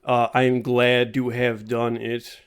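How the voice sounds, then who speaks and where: Slow, measured man. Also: mid-range voice, monotone, clean tone. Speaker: podcast co-host. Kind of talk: casual chat.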